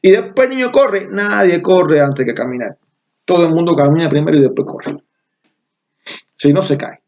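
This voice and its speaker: A man's voice: on the high side, normal speed, darkish tone, lo-fi sound. A middle-aged man from Cuba with a Cuban Spanish accent, giving an online university lecture, stressing key terms and checking in with students.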